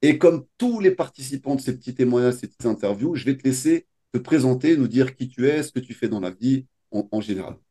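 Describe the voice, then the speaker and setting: Man coach hosting the interview, warm mellow voice, measured formal questions. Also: medium pitch, animated, husky.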